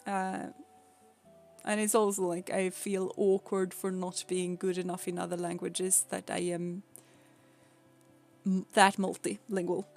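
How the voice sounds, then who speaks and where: A woman's voice: fairly low pitch, level tone, unhurried, darkish tone, soft background music. A young woman from Sweden with a Swedish accent, chatting casually with viewers on a live stream, laughing often and explaining things patiently.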